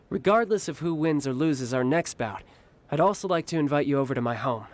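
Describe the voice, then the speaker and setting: A man voicing an affable, confident young warrior in a video game, easygoing and warm in manner. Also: fairly high pitch, animated, fairly quick, slight background noise, lightly acted. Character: young male warrior, affable, confident, doting elder brother. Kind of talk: video game character acting. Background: faint game music.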